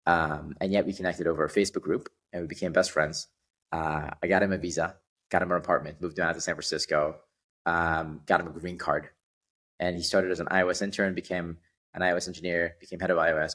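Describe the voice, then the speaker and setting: A man in his twenties, a guest on a podcast, telling stories in an animated way with frequent ums. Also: low-pitched, breathy edge. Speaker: guest, startup founder. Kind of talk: casual podcast conversation.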